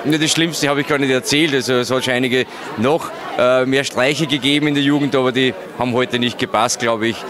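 Austrian male interviewee, forties, quick delivery, casual, crowd hubbub in the background. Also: mid-range voice, fairly even intonation, background noise. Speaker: interviewee, friend of the author. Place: large busy indoor foyer. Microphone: handheld interview microphone. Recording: busy crowd chatter behind.

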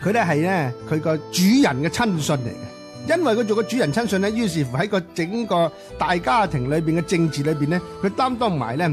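A man with a Hong Kong accent co-hosting a conversational literary discussion, speaking deliberately with drawn-out phrase endings. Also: high voice, sing-song. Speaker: co-host of a book discussion segment. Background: music.

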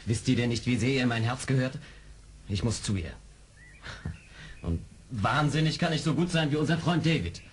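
An actor playing an earnest, determined army major in an audio drama, polite and articulate. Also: male voice, medium pitch, moderate pace, pauses often, slight background noise, natural voice. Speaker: actor in a radio play. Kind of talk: 1970s German audio drama acting.

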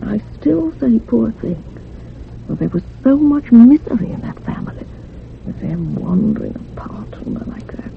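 An actress playing an older, sorrowful village housekeeper in a radio drama, confiding in rustic, old-fashioned turns of phrase. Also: female voice, fairly high pitch, sing-song, normal speed, creaky, heavily acted. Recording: muffled phone-like radio recording with hum.

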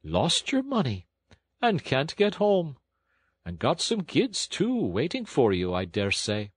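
A man's voice: on the high side, wildly swooping pitch, slow pace, breathy edge, no room sound, pitched above the performer's own voice, exaggerated voice. A man voicing a burly, good-natured working-class engine driver in a storybook, folksy and plain-spoken. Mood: friendly, reflective. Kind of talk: storybook character acting.

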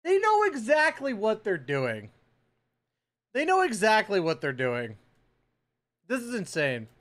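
A man in his thirties giving excited, slangy, casual reaction commentary as a streamer, close on the mic. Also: sits high, unhurried. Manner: animated, exclamatory, slangy. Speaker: reaction video host, streamer. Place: small indoor home room with shelves. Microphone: close microphone on boom arm.

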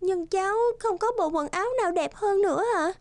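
A woman's voice: very high-pitched, fairly quick, no room sound. A woman voicing a sweet, timid young peasant woman in a fairy-tale cartoon, earnest and polite. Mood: anxious, earnest.

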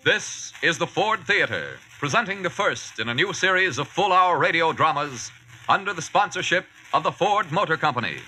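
Male vintage radio announcer, formal delivery, high pitch, extremely forceful tone. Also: lively intonation, normal speed, soft background music, poor audio.

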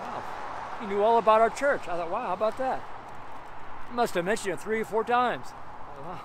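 A middle-aged man preaching an outdoor street sermon, reading scripture slowly and repeating his points with frequent "right?" checks, recorded beside a busy road by a camera facing him. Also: sits high. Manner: emphatic, repetitive, keeps asking "right?"; reads scripture slowly and deliberately. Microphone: camera facing him at medium distance. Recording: outdoor traffic noise.

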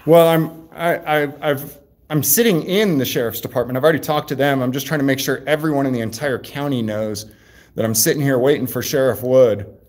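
A man chatting casually and loudly on a livestream while he waits in an indoor lobby. He rambles and restarts often, with plenty of "uh"s, and his voice sits at an ordinary middle pitch. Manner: rambling, halting, many fillers and restarts; loud voice, by his own account. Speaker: livestreaming channel host. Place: sheriff's office lobby, indoors.

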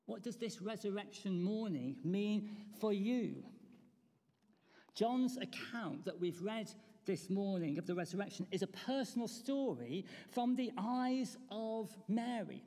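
Slow, gravelly preacher in a church. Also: mid-range voice, lively intonation, warm tone. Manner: reflective, asks the congregation rhetorical questions. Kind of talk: Easter sermon. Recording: long church reverb.

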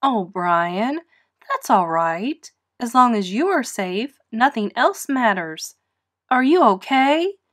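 Storybook mom pig, voiced by a woman: loving, gently teasing, animated and slow. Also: on the high side, full character voice. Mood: caring. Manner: expressive, nurturing.

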